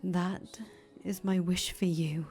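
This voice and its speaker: A woman voicing a soft-spoken young man condemned as a heretic, talking quietly in breathy whispers. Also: fairly low pitch, fairly even intonation, slow, faint music behind. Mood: gentle. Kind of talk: audio drama acting.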